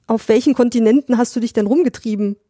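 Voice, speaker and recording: A woman's voice: a little high, fast talker, warm tone, no echo, studio quality. A woman co-hosting a podcast, asking a guest questions in a casual, curious way. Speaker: podcast co-host. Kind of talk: casual interview.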